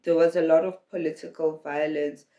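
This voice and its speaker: A man's voice: on the high side, level tone, normal speed, warm tone, reverberant, dull audio. A young South African man, hosting his own podcast, telling his life story in a hesitant way with many restarts and fillers. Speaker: podcast host. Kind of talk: personal storytelling monologue.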